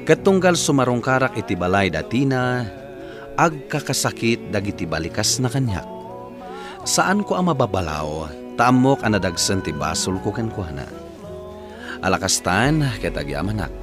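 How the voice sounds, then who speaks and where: A man's voice: lowish voice, sing-song, moderate pace. A man with an Ilocano accent, hosting and narrating a radio drama with scripted advice. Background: music.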